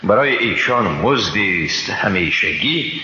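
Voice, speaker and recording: A man with an Afghan Dari accent reads a scripture translation in a solemn, measured, formal declamatory style. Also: on the low side, sing-song, sharp tone. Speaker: Quran translation reader. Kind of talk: solemn scripted religious reading. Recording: echoey hall reverb, slightly dull sound.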